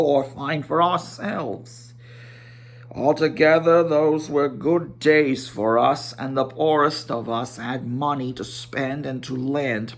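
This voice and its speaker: A man voicing a proud, long-winded dwarf leader recounting family history in a storybook. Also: mid-range voice. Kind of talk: storybook character voice.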